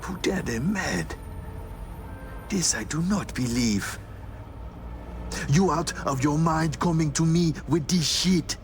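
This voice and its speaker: Slow-spoken, wary netrunner man. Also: fairly high pitch, background noise. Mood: alarmed, grave. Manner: theatrical, clipped broken English, deliberate. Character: wary blind male netrunner, cynical, guarded, dramatic. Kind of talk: cinematic video game acting.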